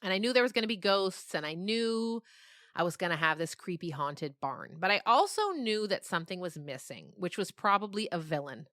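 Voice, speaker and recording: A woman's voice: lowish voice, sing-song, moderate pace, fairly bright tone, no echo, clean studio sound. A woman novelist being interviewed, telling stories conversationally in an emphatic way and often checking in with the listener. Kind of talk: animated conversational storytelling.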